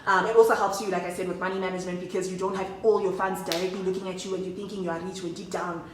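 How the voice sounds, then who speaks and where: A woman's voice: lowish voice, flat delivery, racing, slight background noise. Chatty woman, roomy distant sound. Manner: chatty, slangy, addresses viewers as guys. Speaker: vlogger. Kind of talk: casual storytime chat to camera.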